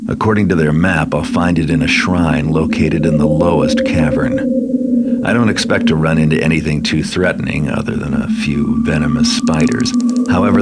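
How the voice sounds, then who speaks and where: A man playing a cynical, wry thief who plans his heists in dry, understated game cutscene narration, with a gravelly voice. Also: heavy background noise. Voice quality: gravelly, low. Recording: loud music under the voice.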